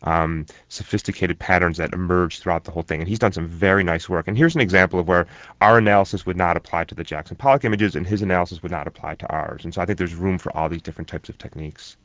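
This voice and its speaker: Low-voiced man, unhurried interview talk. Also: fairly bright tone, dry recording. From born in Germany to Egyptian parents. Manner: thoughtful, many ums and restarts. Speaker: interview guest, researcher. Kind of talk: relaxed conversational interview.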